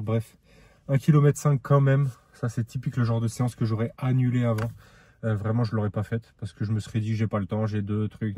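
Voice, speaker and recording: A man's voice: mid-range voice, warm tone. A man recording a casual training diary vlog, chatting and thinking aloud in short bursts. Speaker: amateur triathlete vlogger.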